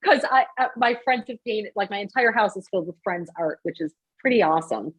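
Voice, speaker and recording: A woman's voice: mid-range voice, animated, a little fast, breathy edge, low-quality recording. A woman hosting a casual, chatty interview. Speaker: interview show host.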